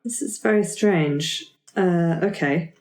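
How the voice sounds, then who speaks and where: Low-pitched woman player, echoey room. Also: slow, a little mellow. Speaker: player in a tabletop roleplaying game. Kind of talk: casual improvised in-character chat. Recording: roomy, echoey tabletop recording.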